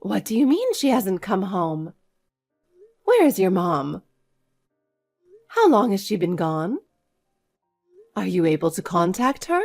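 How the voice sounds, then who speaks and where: Woman voicing a slow, caring, firm grandmother. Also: medium pitch, very animated, darkish tone, faint music behind, full character voice. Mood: concerned, questioning. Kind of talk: acted family story dialogue.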